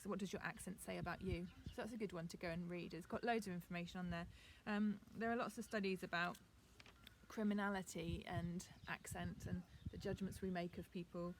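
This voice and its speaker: A woman teacher with a received pronunciation accent gives a chatty, relaxed video lesson outdoors in her garden, with frequent ums. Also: on the low side, noisy background.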